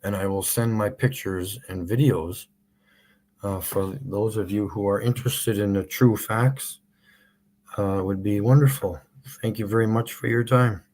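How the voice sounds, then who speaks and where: A man's voice: lowish voice, steady tone, measured pace, darkish tone, slight background noise. A man with a Canadian accent, live streaming as he makes a prank phone call and then rants in a mocking, sarcastic way.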